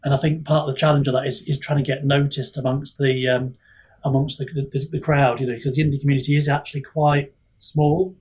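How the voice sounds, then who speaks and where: A man's voice: medium pitch, little pitch movement, fairly quick, a little mellow, breathy edge. A man chatting casually on a podcast, picking his words in a thoughtful, hesitant way. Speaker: indie author on a podcast.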